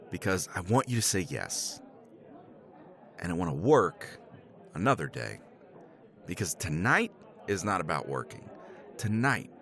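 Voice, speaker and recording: A man's voice: deep voice, vocal fry, fairly bright tone. A man playing a professor in a romance ASMR roleplay, teasing and self-deprecating, swearing casually and laughing often.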